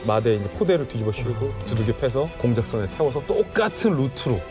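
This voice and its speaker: A man's voice: medium pitch, big pitch swings, fast. A man telling a story dramatically as a panelist on a studio talk show. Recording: clear background music under voice.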